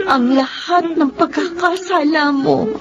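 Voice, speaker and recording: A woman playing a pitying friend who gently admonishes, grave and sorrowful, in radio drama style. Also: high voice, slow pace. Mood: sorrowful reproach. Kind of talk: melodramatic radio drama acting. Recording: muffled, music clearly behind.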